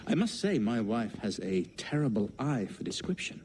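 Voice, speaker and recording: A man playing a suave, teasing English gentleman adventurer in a game, with a polished, sardonic English accent. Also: mid-range voice, sing-song, normal speed, background noise, close to an everyday voice. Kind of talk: dramatic game acting.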